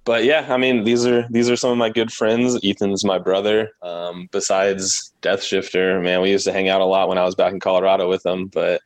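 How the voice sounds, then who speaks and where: A man's voice: sits low, little pitch movement, brisk, hardly any pauses, dry recording. A young American man chatting casually as a podcast guest, rambling a little and self-deprecating. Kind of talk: casual chat.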